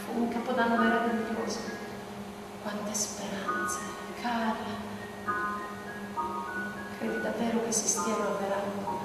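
An actress playing a weary, hunted woman revolutionary, reflective and nostalgic, in a theatrical monologue with dramatic pauses. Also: a little high, level tone. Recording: echoey hall, distant, loud music. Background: loud music.

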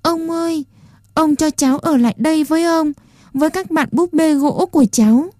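A woman voicing an ugly little wooden doll boy who pleads and sobs in a timid, soft voice. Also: moderate pace, dry recording, studio quality, close to an everyday voice. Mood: crying. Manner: pleading, sobbing, then shrill and defiant.

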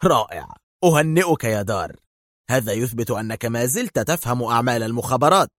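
A man's voice: mid-range voice, very animated, fast talker, dry recording, clean studio sound. A man voicing a confident, sarcastic secret agent hero in a storybook thriller, taunting and decisive. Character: mocking, supremely confident male Egyptian secret agent hero.

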